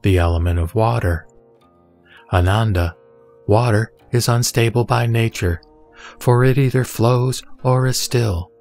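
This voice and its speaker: A man reciting scripted scripture in a measured, didactic manner. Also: mid-range voice, vocal fry, darkish tone. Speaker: sutra reciter. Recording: background music under the voice. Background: music.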